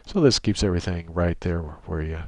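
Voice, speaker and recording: A man giving an unscripted software tutorial in a methodical, technical way with halting phrasing, close on a USB microphone. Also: low-pitched, no echo. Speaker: tutorial presenter.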